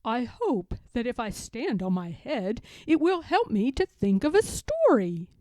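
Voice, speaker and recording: An earnest, puzzled toad from a children's storybook, acted out by a woman reading aloud. The delivery is slow, deliberate and emphatic, with a mellow, close dry sound. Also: on the high side, big pitch swings, no echo.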